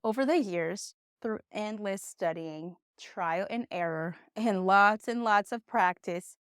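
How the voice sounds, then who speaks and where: A young woman, a Portuguese speaker, giving a conversational online English lesson with encouraging, expressive emphasis. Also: mid-range voice, takes it slowly, smooth, faint music behind. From Brazil. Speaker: English teacher.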